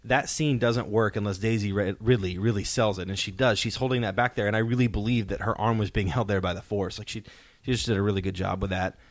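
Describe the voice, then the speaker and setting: A man's voice: lowish voice, fairly even intonation, quick pace, no echo. A man hosting a podcast in casual pop-culture discussion, explaining his points and posing rhetorical questions. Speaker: podcast host. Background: soundtrack music playing faintly.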